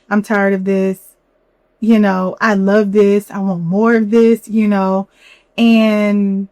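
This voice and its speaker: A young woman hosting a solo podcast, a little hoarse from a cold, talking candidly with lots of "you know". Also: medium pitch, darkish tone, dry recording. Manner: candid, chatty, many fillers and "you know". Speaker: podcast host. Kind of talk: reflective solo podcast talk.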